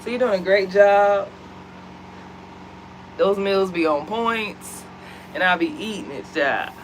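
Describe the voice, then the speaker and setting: An American woman talks with her viewers from her couch at home, animated and chatty, warmly calling them y'all. Her voice sits in a middle pitch. A faint hiss runs under the recording. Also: slow, low-quality recording. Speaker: vlog co-host. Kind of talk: casual chat to viewers. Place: at home, seated.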